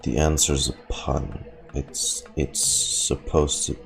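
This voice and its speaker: A man with a very low voice reacts live to a game. He talks slowly and haltingly in a dry, sweary deadpan, with game music faintly behind him. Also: vocal fry. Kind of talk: casual live commentary. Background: video game music and dialogue.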